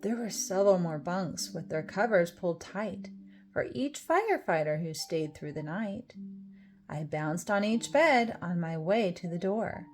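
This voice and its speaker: A woman reading a rhyming children's storybook aloud, with expressive, deliberate phrasing. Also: lowish voice. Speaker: storybook reader. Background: music.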